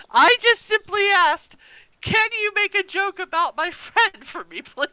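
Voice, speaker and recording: A woman's voice: very high voice, expressive, a little slow, bright, forceful tone, dry recording, low-quality recording. A woman co-hosting a podcast, chatting casually and emphatically as an enthusiastic fan. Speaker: podcast co-host.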